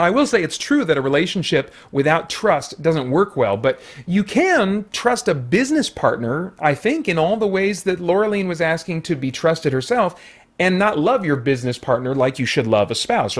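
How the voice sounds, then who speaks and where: Higher-pitched man reviewing casually. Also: animated, moderate pace. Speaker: movie reviewer. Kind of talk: casual unscripted review commentary.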